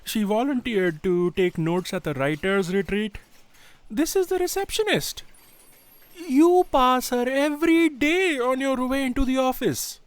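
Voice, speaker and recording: A high, animated office aide. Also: female voice, unhurried, dry recording. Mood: careful, deferential. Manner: deferential. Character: dutiful, chirpy female aide to a demanding boss. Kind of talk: audio drama acting.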